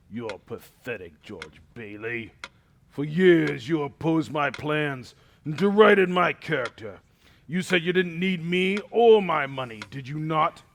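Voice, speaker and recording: Casting: man as gloating, wealthy old villain for radio play, unhurried condescending menace, slightly high-pitched for a male, some background noise. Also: expressive, a bit bright, heavily acted. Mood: gloating scorn. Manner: slow, mocking, condescending, chuckling menace. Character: cruel rich old miser, sneering and gloating. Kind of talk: amateur radio drama acting.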